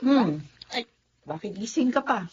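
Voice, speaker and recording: A woman voicing a fussy, doting mother and former teacher with a husky, exclamatory manner. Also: fairly high pitch, lively intonation, a little slow, muffled recording, full character voice.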